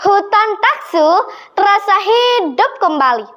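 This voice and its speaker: A young girl telling a children's story in a deliberate, dramatic and expressive way. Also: very high-pitched. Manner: slow, deliberate, expressive delivery. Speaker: storytelling contest participant.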